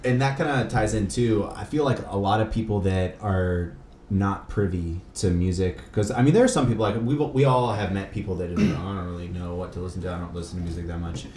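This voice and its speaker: Male podcast host, very low voice, laid-back and slangy, recorded in a roomy space. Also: a little fast, darkish tone. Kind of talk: casual podcast chat. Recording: echoey room, faint background noise.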